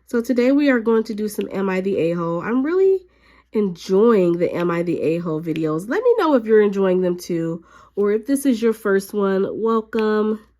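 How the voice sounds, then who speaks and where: A woman hobby vlogger who is a little sick and a bit raspy, chatting casually with lots of fillers while she works at her craft desk at home. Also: medium pitch, normal speed. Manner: chatty, relaxed, frequent fillers.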